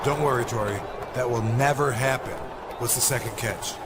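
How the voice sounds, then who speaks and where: A man's voice: mid-range voice, normal speed, lightly acted. A man playing a cocky, laid-back wrestler in a video game. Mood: confident. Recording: very noisy background.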